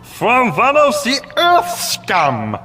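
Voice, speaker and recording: A bossy little alien villain, slow and menacing, big echoey hall with music behind. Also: mid-range voice, huge pitch swings, pushed and bright, full character voice. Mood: pompous. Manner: imperious, threatening, mixes in German words. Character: bossy menacing alien villain child, grandson of a queen, mock-German. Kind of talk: full-cast audio drama acting.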